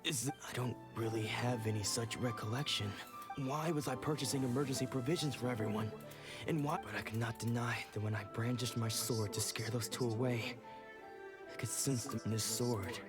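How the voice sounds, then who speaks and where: Very low, brooding sword fighter. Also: female voice, moderate pace, music in the background. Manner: grave, formal. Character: stern, brooding sword fighter, formal and disillusioned. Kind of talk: video game voice acting.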